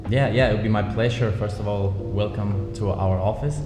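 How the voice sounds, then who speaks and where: A man from Denmark, founder of a watch brand, speaking in a measured, thoughtful way in an interview in an office. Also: lowish voice, fairly even intonation. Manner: measured, thoughtful, many ums. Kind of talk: relaxed interview conversation. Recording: echoey office with music bed.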